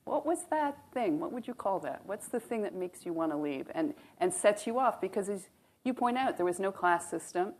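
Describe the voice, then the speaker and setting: A woman's voice: medium pitch, lively intonation, moderate pace, a little mellow. A woman from Canada hosting an interview, chatty and asking long, rambling follow-up questions. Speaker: podcast host. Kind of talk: casual interview chat.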